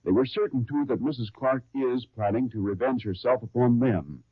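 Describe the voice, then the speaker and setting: A man announcing and recapping a radio serial in brisk, salesy 1930s style. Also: mid-range voice, slightly rough, no room sound. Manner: brisk, salesy, then storytelling. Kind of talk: 1930s sponsor ad and program intro. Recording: tinny 1939 radio broadcast recording.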